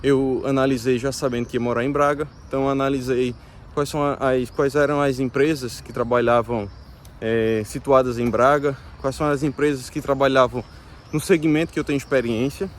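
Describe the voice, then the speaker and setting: A man's voice: mid-range voice, steady tone, a little fast, slight background noise. A Brazilian man in his thirties with a Brazilian Portuguese accent, explaining things casually to viewers in a hesitant way, with many fillers and restarts. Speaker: vlogger.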